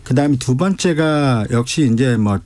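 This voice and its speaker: A man's voice: medium pitch, animated, moderate pace, a little mellow. A man explaining things in a casual radio panel style as a political aide, posing questions and laying out points. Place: radio studio.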